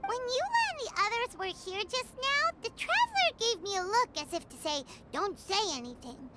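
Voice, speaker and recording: A little fairy girl sidekick from a video game. The voice is extremely high and childlike, with lively swooping intonation. The delivery is chatty and excitable, over prominent music. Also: normal speed, exaggerated voice. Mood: explaining, eager. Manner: chirpy, refers to self in third person. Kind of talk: scripted video game character acting.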